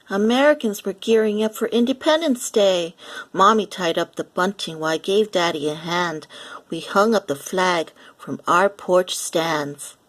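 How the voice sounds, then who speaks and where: A woman reading a picture book aloud for young children, animated and deliberate, acting out the lines as she goes. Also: medium pitch. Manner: animated, deliberate, acts out lines and sound effects. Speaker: children's story reader.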